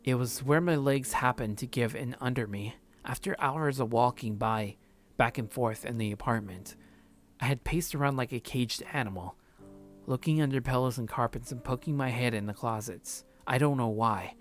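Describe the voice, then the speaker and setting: A man's voice: medium pitch, moderate pace, faint music behind, natural voice. A man reading first-person visual novel narration as a numb, heartbroken man, subdued and brooding.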